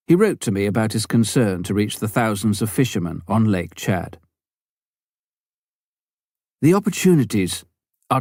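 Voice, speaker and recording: A man narrating a written memoir as an audiobook reader, in a measured, deliberate way. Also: fairly low pitch, darkish tone, no echo, clean studio sound.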